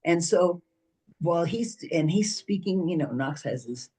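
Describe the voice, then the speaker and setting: A man's voice: a little high, expressive, unhurried, darkish tone, husky. A man on an arts panel telling a rambling anecdote, full of "so" and "you know". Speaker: panelist, arts organization president.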